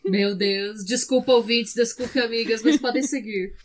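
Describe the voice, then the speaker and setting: A woman's voice: on the high side, steady tone, moderate pace. A woman with a Brazilian Portuguese accent co-hosting a podcast in casual, playful, self-mocking banter, recorded with noise behind her. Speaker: podcast co-host.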